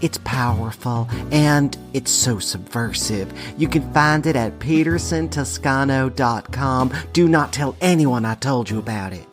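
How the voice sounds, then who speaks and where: A man's voice: mid-range voice, unhurried, few pauses, faint music behind, voice pushed up, lightly acted. A man voicing a prim, proper evangelical church lady who confides earnestly, in a comic character monologue. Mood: confiding, excited.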